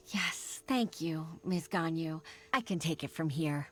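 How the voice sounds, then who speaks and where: A woman voicing an earnest, polite young female official in a video game, speaking formally with small sighs and pauses. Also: low voice, a bit bright, soft background music. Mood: humbled, grateful.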